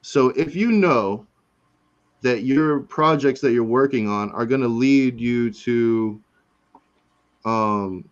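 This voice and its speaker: A forty-year-old man hosts a relaxed live call, his talk loose and rambling, full of "right?" and "man". His pitch sits in the middle, and the audio sounds a little dull and lo-fi. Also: slow pace, pauses often. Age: 40s. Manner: laid-back, rambling, says "right?" and "man" often. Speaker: host. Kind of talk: casual chat.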